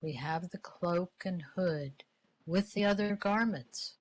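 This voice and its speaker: A woman playing a brisk, cold female officer in an amateur radio play reading, with a slow, deliberate delivery. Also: lowish voice, a little mellow. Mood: cool.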